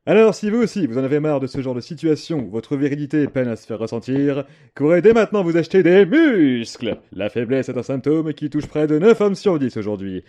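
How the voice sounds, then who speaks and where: A man's voice: on the high side, very animated, a little fast, few pauses, dark tone. A man acting a bombastic superhero with a pompous, declamatory delivery in an audio comedy. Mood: pompous, boastful. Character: bombastic male superhero selling protein powder in an advert.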